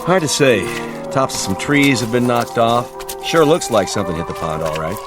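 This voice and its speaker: Male patrolman played by an actor, grumbling yet curious, medium pitch, animated range, natural radio-play delivery. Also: moderate pace, over music, natural voice. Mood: grumbling, unsure. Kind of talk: naturalistic radio drama acting.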